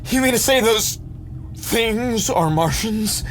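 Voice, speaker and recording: A man's voice: high-pitched, expressive, slow pace, a little hiss or hum. An actor playing an anxious, pleading father in a sci-fi audio drama. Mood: alarmed. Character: anxious, pleading father searching for his daughter.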